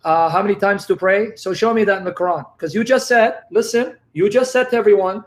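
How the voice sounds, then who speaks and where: A man's voice: high-pitched, fairly quick. A man arguing in a live religious debate, insistent and confrontational, repeating his demands and cutting in.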